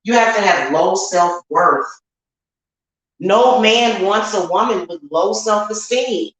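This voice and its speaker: A woman's voice: medium pitch, slow pace, a bit bright. An American woman speaking off the cuff to her viewers in a candid, emphatic, colloquial way, repeating her points. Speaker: online witch and spiritual reader. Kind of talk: unscripted vlog monologue to viewers. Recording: very echoey, reverberant room.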